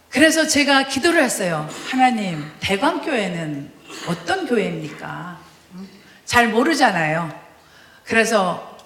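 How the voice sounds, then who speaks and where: A woman from Korea with a Chungcheong accent, giving a lively testimony sermon as a guest preacher, full of fillers and laughs. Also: fairly high pitch, echoey room. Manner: animated, laughing, many fillers. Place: church sanctuary.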